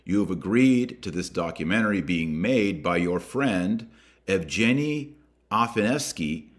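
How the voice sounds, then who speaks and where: A man hosting a podcast, reading a document aloud with emphatic, deliberate delivery and adding his own commentary. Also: low-pitched. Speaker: podcast host.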